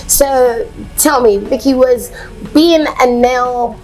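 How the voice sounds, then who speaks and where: A woman's voice: sits high, slow, a loud crowd, rough recording. A woman hosting an interview in a chatty, encouraging way. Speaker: host. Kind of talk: casual interview.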